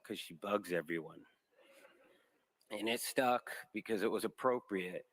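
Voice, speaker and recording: Dad giving memorial speech, really deep voice, halting, heartfelt. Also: male voice, a bit bright. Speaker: grieving father speaking at a memorial.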